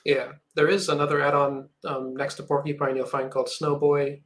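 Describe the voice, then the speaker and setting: Casting note: man with a monotone, measured delivery, explaining open source tech. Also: a little high. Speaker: developer co-presenter. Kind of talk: technical explanation.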